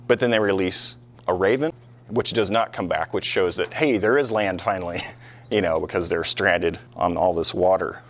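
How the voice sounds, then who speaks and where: A man lecturing on history as a professor, talking conversationally with rhetorical questions and casual asides to his listeners. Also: on the low side. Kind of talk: informal classroom history lecture with questions to the students. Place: live talk in front of a small audience.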